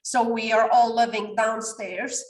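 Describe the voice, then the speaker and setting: A woman's voice: fairly high pitch, flat delivery, a little slow, reverberant, poor audio. A woman in her forties from Romania, a coach talking animatedly in a podcast interview and sometimes searching for words. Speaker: guest, coach.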